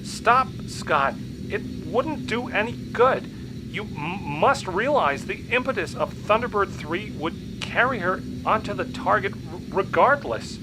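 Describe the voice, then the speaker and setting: Guy putting on a nervy stammering scientist, higher pitched, engine noise behind, audio story. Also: expressive, unhurried. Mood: alarmed. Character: anxious, stuttering male rocket scientist. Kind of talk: audio story character acting.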